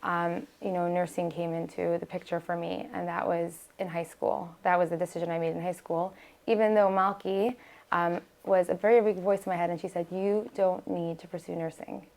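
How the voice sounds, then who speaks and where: A woman from the United States, raised speaking Hebrew, talks as a podcast guest. Her voice sits a little low, and she chats freely and loosely, full of fillers and restarts. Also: level tone, moderate pace, creaky, darkish tone. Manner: chatty, many fillers and restarts. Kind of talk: casual interview talk.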